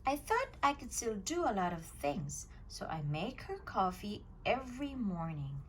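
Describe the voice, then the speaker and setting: A woman reading a children's picture book aloud at storytime, telling it with an expressive, swinging voice. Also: mid-range voice, unhurried, slight background noise. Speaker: storytime reader.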